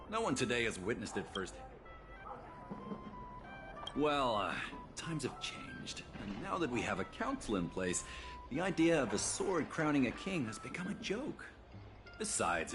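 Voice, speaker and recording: An actor playing an earnest, excitable trade envoy in a video game, polite and effusive, proud of his homeland. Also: on the low side. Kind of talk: video game character dialogue. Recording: music behind the voice.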